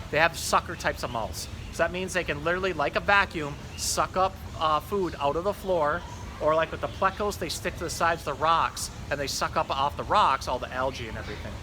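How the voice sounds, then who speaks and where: A man's voice: on the high side, brisk, noisy background. A man hosting a casual educational talk about animals, speaking as a zookeeper. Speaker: zookeeper host showing pond fish.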